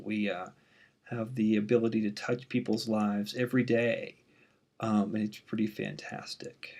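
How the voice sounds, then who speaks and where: A university instructor, a man in his thirties, with a voice of ordinary middle pitch. He talks casually and candidly, often pausing on "um", and now and then catches briefly on a word. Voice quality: mild stutter. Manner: relaxed, frequent "um" fillers, candid. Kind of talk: casual course introduction.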